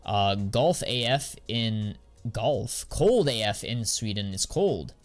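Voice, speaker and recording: A young American man chatting casually on a live stream, answering viewers in a relaxed, slangy way. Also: fairly low pitch, slow pace, faint music behind. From United States. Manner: slangy and laid-back, drawls filler words.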